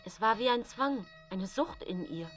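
An accused woman poisoner in a radio play, widowed and haunted. She speaks in a deliberate, confiding way that can sink into a whisper. She sounds calculating, forever justifying herself. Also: a little high, expressive, a bit bright, faint music behind. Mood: self-justifying, defensive. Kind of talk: radio drama monologue.

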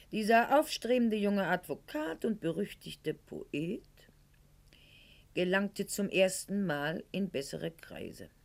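An actress playing a proud, wounded middle-aged aristocratic lady in a radio play monologue, articulate and ironic, with weighted pauses. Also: fairly low pitch.

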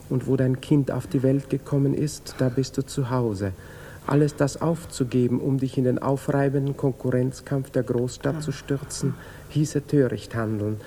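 An actor playing a cool, politely evasive lover, reading out a formal letter in a measured way in a 1950s radio drama. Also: male voice, mid-range voice, mellow, lightly acted. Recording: hissy 1950s radio recording.

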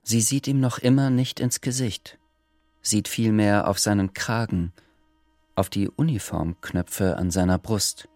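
A male narrator with a fairly low voice tells a scripted story. His delivery is measured and literary, with music clearly playing underneath. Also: steady tone, a little mellow. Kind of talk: scripted radio drama narration.

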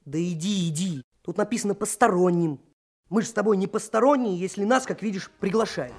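An actor playing a bold, cheeky young trainee detective in a radio drama, speaking in an excited, urging manner. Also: male voice, high-pitched, fairly quick. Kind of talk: Soviet radio play acting.

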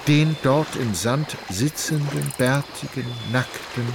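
Narrator: man, mid-pitched, literary radio drama storytelling with clear ambient noise behind. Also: slow.

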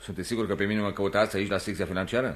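A man's voice: lowish voice, steady tone, heavy background noise, close to an everyday voice. An actor playing a proud, determined young man in a Romanian radio play, with a firm, restrained intensity. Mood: polite, guarded. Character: proud, determined young man, possessive lover and ambitious employee. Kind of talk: Romanian radio theatre acting.

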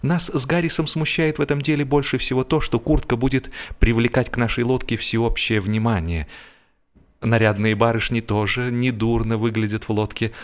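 A man narrating a humorous story expressively, with a wry, theatrical manner and deliberate pauses. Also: mid-range voice. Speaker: audiobook narrator.

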